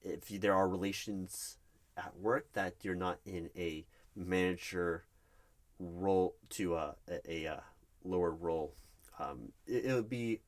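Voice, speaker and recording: A man's voice: low-pitched, measured pace. A man playing a nervous, flustered recruiting manager in an interview roleplay, stammering and awkwardly polite. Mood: flustered, hesitant. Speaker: roleplay performer. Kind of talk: one-sided interview roleplay.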